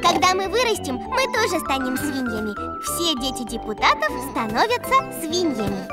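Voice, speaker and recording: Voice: high voice, very animated, moderate pace. A performer voicing a cheeky, spoiled little pig girl with a childish sing-song delivery in a cartoon parody. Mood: cheerful. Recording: loud music behind the voice.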